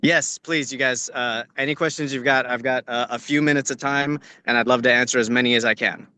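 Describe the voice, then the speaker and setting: Bright-voiced American man, earnest and emphatic. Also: mid-range voice, steady tone, brisk, clear, resonant tone, dry recording. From Orange County, California. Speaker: working actor answering questions. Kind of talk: casual spoken advice to acting students. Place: outdoors on location, on a video call.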